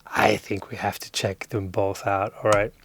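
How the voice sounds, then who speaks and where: A man hosting a casual hands-on audio gear demo, relaxed and appreciative as he thinks aloud. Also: lowish voice, normal speed, gravelly, no echo, clean studio sound. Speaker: host demonstrating audio gear.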